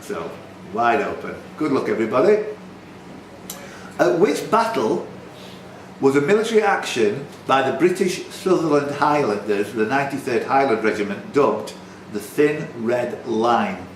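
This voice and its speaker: A man's voice: medium pitch, sing-song, unhurried. A man hosting a live pub quiz, reading questions and scores with bantering, self-deprecating, hesitant asides. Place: pub function room, indoors. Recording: roomy pub sound with background noise.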